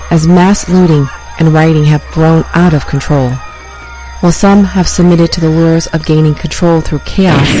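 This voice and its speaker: Woman acting as a field reporter, lowish voice, dramatic news delivery, loud music bed behind her. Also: lightly acted, rough recording. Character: female field reporter at a riot scene, increasingly frightened.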